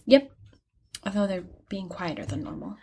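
An earnest young female student in an audio drama, with a slightly husky, breathy voice. She talks openly and chattily, rambling and hesitating as she searches for the right words. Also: mid-range voice, lively intonation, moderate pace, a little mellow. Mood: searching, explaining. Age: young adult. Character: earnest, chatty young art student who hears angels. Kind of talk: naturalistic audio drama acting.

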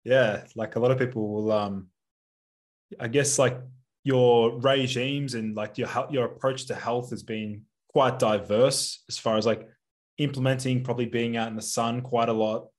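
A man's voice: mid-range voice, fairly even intonation, normal speed. Easygoing male podcast host. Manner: loose, filler-heavy questioning. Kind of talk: casual interview questions.

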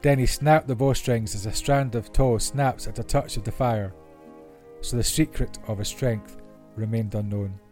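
A man's voice: mid-range voice, a little mellow. A man reading Bible stories aloud as scripted narration. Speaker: Bible reader. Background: classical orchestral music.

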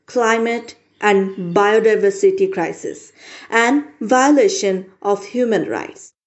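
A teenage girl from Bangladesh reads carefully and very slowly. Her pitch sits in the middle range. The recording is a little dull. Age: teens. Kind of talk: reading a pledge.

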